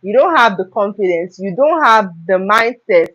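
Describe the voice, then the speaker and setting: A woman's voice: mid-range voice, lively intonation, normal speed, a bit bright. Earnest young woman giving a motivational talk. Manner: earnest, repeats "okay?" and "right?" to check in with listeners. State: slightly hoarse throat. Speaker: live stream host. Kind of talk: motivational talk on a live stream. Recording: dull lo-fi live stream audio.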